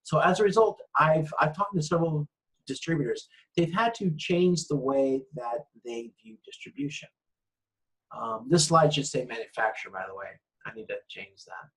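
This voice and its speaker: Higher-pitched man giving an informal lecture. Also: animated, normal speed, fairly bright tone, rough recording.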